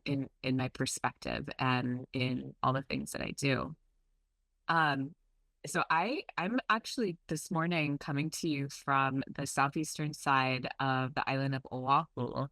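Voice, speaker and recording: A woman from Hawaii speaks over a video call as she presents to a conference. Her voice is bright and close, with little room sound. She talks earnestly, pausing on frequent ums. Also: rumbling low, no echo. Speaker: community relations presenter. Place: outdoors at home.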